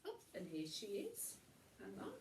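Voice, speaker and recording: A woman reading a children's bedtime story in an animated way, with chatty asides. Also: mid-range voice, a little slow, roomy sound, slight background noise.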